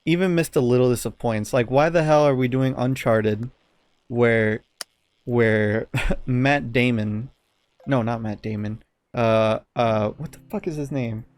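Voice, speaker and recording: A man's voice: medium pitch, measured pace, a little mellow, dry recording. A man co-hosting a casual podcast, giving blunt opinions with some swearing and often searching for words. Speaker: podcast co-host.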